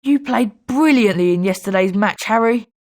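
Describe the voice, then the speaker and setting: A woman's voice: mid-range voice, moderate pace, close to an everyday voice. A woman playing a gossipy young wizard school student, speaking conversationally in scripted video game lines. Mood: friendly.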